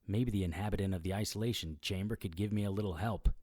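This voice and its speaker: An actor playing a wry, self-mocking immortal occult investigator who narrates an audio drama in noir style. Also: male voice, on the low side, fast, a little mellow, no room sound, studio quality, lightly acted. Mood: brooding, puzzled. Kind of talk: scripted first-person audio drama narration.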